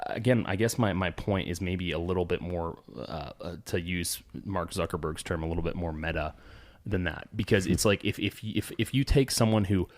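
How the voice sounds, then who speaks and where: A podcast co-host debating with a low, steady voice. He restarts his sentences and presses his argument, and the sound is dry and close to the mic. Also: normal speed, dry recording. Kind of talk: casual debate.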